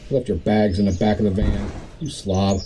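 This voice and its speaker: A man acting a tired, grumbling guy in a comedy audio drama mutters with birdsong around him. His low voice stays flat and dull-edged, full of worn-out complaint. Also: normal speed, close to an everyday voice. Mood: weary, grumbling. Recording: faint outdoor noise with birdsong.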